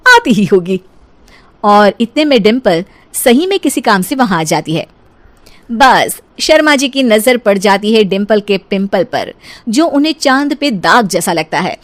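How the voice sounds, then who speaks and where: ऊँचे सुर वाली महिला कथावाचक. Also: female voice, fairly high pitch. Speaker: storyteller. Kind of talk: scripted comic cartoon story narration.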